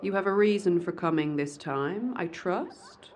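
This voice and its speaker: A woman's voice: lowish voice, expressive, measured pace, darkish tone. A woman voicing a cold, cruel woman who hisses scornfully out of the darkness. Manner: hissing, scornful. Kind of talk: audio drama acting.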